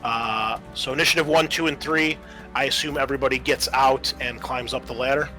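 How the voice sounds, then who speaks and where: A man narrating a tabletop roleplaying game as game master, explaining things in a halting way. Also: medium pitch, fairly even intonation, pushed and bright, faint music behind, poor audio.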